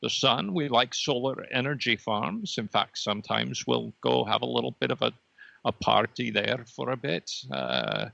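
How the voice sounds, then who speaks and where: Man playing a gravelly, bright-voiced talking laser dot. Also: mid-range voice, no echo, muffled recording. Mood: matter-of-fact. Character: chatty, teasing red laser dot, an energy being. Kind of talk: improvised comedy podcast character.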